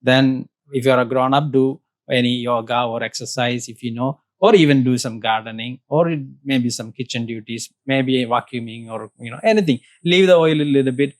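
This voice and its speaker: A man's voice: medium pitch, moderate pace, few pauses, no room sound. Male guest from Kerala, Malayali accent, patient teacherly explainer; dry, close recording. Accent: Indian English, Malayali. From Kerala, South India. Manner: patient, explains with examples, repeats "correct". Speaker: Ayurveda practitioner, guest. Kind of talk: explanatory interview talk.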